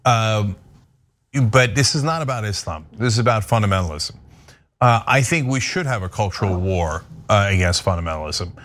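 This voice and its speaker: A man's voice: lowish voice, lively intonation, normal speed, fairly bright tone. A man from Turkey hosting a talk show, asking a guest direct, opinionated questions. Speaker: show host. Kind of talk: interviewing a guest.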